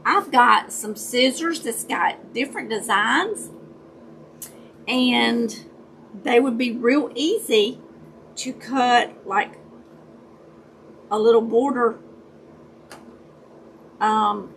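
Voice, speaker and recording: Raspy, slow woman. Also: on the high side, a bit bright, slight background noise. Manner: chatty, greets viewers by name. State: raspy voice, dry throat. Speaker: crafting livestream host. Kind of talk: casual chat while crafting.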